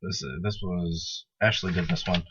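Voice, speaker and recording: A man's voice: sits low, moderate pace, fairly bright tone, slightly rough. A young man co-hosting a casual podcast, talking hesitantly with lots of fillers and restarts. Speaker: podcast co-host. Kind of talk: casual chat. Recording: dull lo-fi recording.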